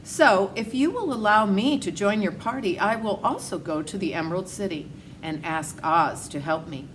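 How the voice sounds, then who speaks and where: A woman's voice: medium pitch, normal speed, background noise, voice pushed up, natural voice. A woman voicing a polite, grateful rusted tin man in a storybook read-aloud, with a plaintive, courteous manner. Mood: grateful, hopeful.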